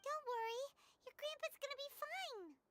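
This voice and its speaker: A woman voicing a tiny floating female companion creature in a game, high, squeaky, chatty and a little whiny. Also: normal speed. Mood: reassuring. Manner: whiny, excitable. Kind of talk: game voice acting. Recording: game audio with loud music behind.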